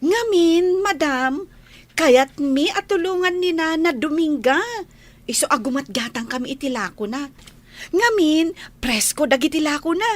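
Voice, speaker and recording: Woman voicing cheeky young Filipino maid, high voice, lively swoops, teasing, audio drama. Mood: friendly, respectful. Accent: Filipino (Ilocano). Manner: playful, drawn-out, talking back.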